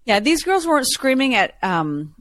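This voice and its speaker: Woman podcast co-host, low voice, chatty storytelling, dry close sound. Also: very animated, normal speed, fairly bright tone, dry recording. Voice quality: lower voice. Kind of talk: casual chat.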